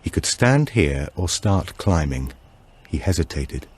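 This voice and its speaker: A man with a low voice narrates a suspense story. His delivery is measured and deliberate, in the manner of a scripted audiobook thriller. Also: very animated, husky.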